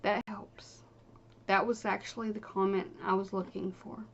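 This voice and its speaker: A woman vlogger talking casually and haltingly as she reads through viewer comments, trailing off between short phrases. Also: mid-range voice, flat delivery, vocal fry, muffled recording, a little hiss or hum. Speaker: video creator.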